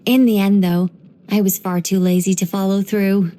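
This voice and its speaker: A woman voicing an ancient angel in a video game, dignified yet whimsical and chatty, with a measured, gently playful delivery. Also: medium pitch, flat delivery, lightly acted. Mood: wistful, serene. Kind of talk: video game character voice lines.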